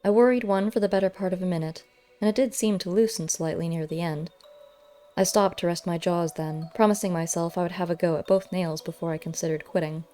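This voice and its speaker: A woman reading a first-person story aloud as an audiobook narrator, measured and expressive. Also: fairly low pitch. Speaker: audiobook reader. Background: faint music.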